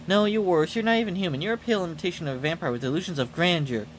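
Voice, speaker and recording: A man's voice: on the high side, racing, noisy background, natural voice. A man voicing a haughty, irritable, dismissive woman devoted to her lord in a video game. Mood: scornful.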